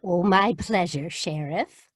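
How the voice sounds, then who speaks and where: An actress playing a kindly, hospitable farm wife with a husky voice in an old-time radio drama. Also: female voice, lowish voice, slow, no echo. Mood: kindly, concerned.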